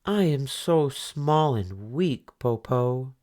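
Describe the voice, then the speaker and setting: A man's voice: medium pitch, animated, slow, a little mellow, voice pushed up. A man voicing a clever, sweetly cunning young girl, the eldest sister, in a coaxing sing-song way. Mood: feigned innocence.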